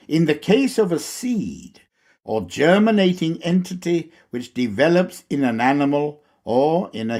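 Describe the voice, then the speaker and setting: A man reading a scripted lecture aloud in a formal, instructive manner with deliberate, measured phrasing. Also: mid-range voice, darkish tone. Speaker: reader of a lecture.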